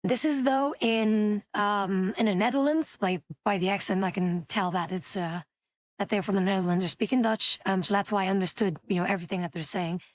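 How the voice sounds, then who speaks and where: A woman's voice: medium pitch, fairly quick, no room sound. A woman from Belgium, chatting casually as a streamer reacting to clips, rambling with lots of fillers.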